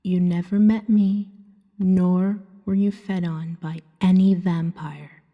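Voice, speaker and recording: A woman voicing a seductive, gently hypnotic female vampire in a soft ASMR roleplay, breathy and often near a whisper, coaxing and soothing as she tells a story to the listener. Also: fairly low pitch, fairly even intonation, narrow, tinny audio. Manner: slow, soothing, hypnotic second-person narration. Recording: long hall-like reverb.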